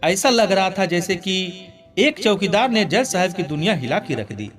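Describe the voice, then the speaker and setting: A man's voice: high-pitched, animated, normal speed, a bit bright. A man narrating a scripted, sentimental moral story in an earnest, dramatic way. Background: background music.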